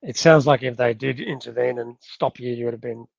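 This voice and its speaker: A podcast host in a casual interview, asking halting, conversational questions. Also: medium pitch, gravelly, no echo, dull audio. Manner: halting questions, frequent short acknowledgements.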